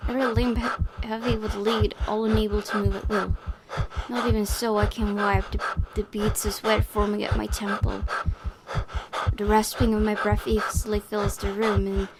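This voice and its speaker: A young woman with a slightly raspy voice, a game streamer who reads a horror visual novel aloud in a hushed, dramatic way and breaks into hesitant asides. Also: mid-range voice, little pitch movement, moderate pace, heavy background noise. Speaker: let's play host. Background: loud game music.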